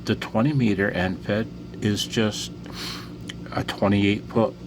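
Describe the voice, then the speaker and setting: A man with a very low voice talks at an unhurried, slow pace, like a hobbyist walking through his gear. His delivery is casual and unscripted. Clear background noise runs behind him in what sounds like a home radio room. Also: steady tone. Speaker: amateur radio hobbyist demonstrating antennas. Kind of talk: casual unscripted demonstration commentary. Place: indoors at a home radio station.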